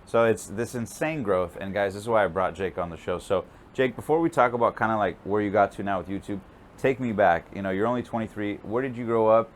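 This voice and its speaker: A man's voice: lowish voice, a little fast, slight background noise. A man hosting an interview show, hyping up his guest in the intro and then chatting casually, laughing along. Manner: upbeat, laughs often, hype-style intro. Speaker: podcast host.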